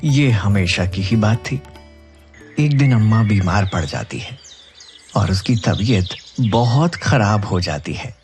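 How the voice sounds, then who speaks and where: A man narrates a scripted moral story. His voice sits a bit low, in the role of storyteller. Music plays clearly behind him. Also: expressive, takes it slowly, a little mellow.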